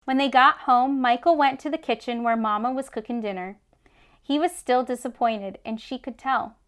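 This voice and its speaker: A woman reading a children's story aloud with expressive storybook narration. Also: on the high side, normal speed, clean tone. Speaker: children's read-aloud host.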